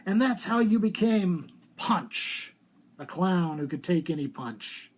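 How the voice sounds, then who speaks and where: A male author at a live reading. He has a theatrical storyteller's delivery and places clear emphasis on his phrases. The voice is that of a writer presenting his own fiction aloud. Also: sits high, expressive, slow. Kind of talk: live dramatic literary reading.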